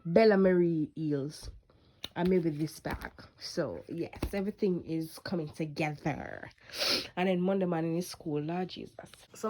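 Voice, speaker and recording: A woman's voice: fairly low pitch, fairly bright tone. An eighteen-year-old woman with a Jamaican accent, chatting casually to her vlog camera and drifting into patois as she rambles. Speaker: vlogger.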